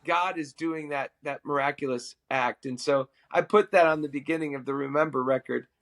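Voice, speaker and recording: A middle-aged man, a worship musician and podcast guest, telling a personal story in an animated, self-amused way. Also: fairly high pitch, moderate pace.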